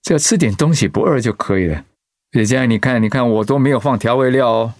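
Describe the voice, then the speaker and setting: A man acting an easygoing, caring middle-aged husband, a chaplain, in an expressive radio drama style. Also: medium pitch, fast talker, no room sound, studio quality, lightly acted. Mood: placating. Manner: expressive, conversational.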